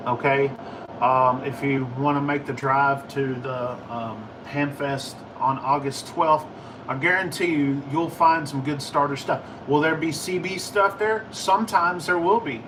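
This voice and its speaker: An American man chatting casually to livestream viewers in a rambling, halting, informal way. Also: mid-range voice, fairly even intonation, recorded from a distance, noisy background. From United States. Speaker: livestream host, ham and CB radio hobbyist. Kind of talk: casual livestream chat answering viewer questions.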